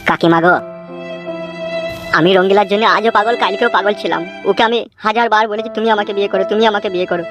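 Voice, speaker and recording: A man's voice: high-pitched, fairly even intonation, fast, close to an everyday voice. A man voicing a smitten, boastful young suitor in a comedy cartoon, eager and wheedling, with a Bengali accent. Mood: lovesick, vengeful. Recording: music behind the voice.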